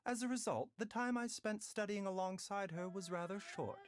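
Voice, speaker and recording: A man voicing a gentle, composed young male doctor in a video game, with polite, measured, formal phrasing. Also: high voice, natural voice. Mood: calm, thoughtful. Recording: game music behind voice.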